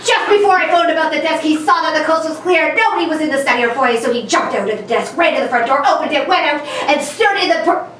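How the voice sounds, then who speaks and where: A woman's voice: high voice, animated, fast, a bit bright. A woman playing a clever, self-assured male detective in an amateur reading of an old radio mystery. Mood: explaining, triumphant. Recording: very echoey room, distant mic, faint noise.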